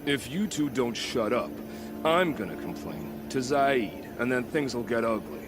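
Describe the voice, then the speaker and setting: An actor playing a gruff, sly, menacing bandit guard in a video game. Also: male voice, medium pitch, expressive, unhurried, music in the background, close to an everyday voice. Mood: irritated threat.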